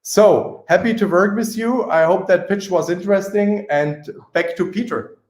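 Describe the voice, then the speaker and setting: A man with a slightly high-pitched voice speaks in a very roomy, echoing recording. He presents as a company representative, pushing his points emphatically and persuasively. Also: normal speed, a little mellow. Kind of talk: sales pitch and question answering.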